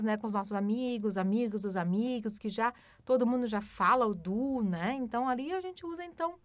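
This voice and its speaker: A Brazilian woman, around her thirties, teaches German with patient explanations and lots of quick check-ins with the listener. Her fairly high voice moves up and down a lot. The recording is dry and close, with no room sound. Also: dry recording. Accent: Brazilian Portuguese. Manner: explains patiently, frequent tag questions, slow careful German examples. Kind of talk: language lesson.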